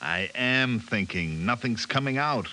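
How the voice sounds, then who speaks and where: A man's voice: mid-range voice, animated, measured pace, pushed and bright. An actor playing a nervous, sardonic stranded man, a reluctant sidekick in a 1950s radio drama. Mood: glum, dry. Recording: hissy 1950s radio recording.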